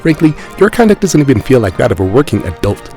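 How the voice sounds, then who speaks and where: Man playing a quick, sardonic, articulate debunker. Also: mid-range voice, very animated, a little mellow. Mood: scathing, analytical. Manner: blunt, dry, analytical. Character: sardonic, articulate forum member dismantling a braggart's claims. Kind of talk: dramatic reading of a written rebuttal. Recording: music under the voice.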